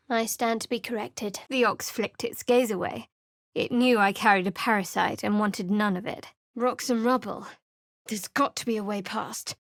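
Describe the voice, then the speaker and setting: A wry, guarded young cleric. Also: female voice, on the high side, no room sound. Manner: dry, sardonic, clipped. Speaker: game companion. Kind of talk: studio game voice lines. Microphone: close studio mic.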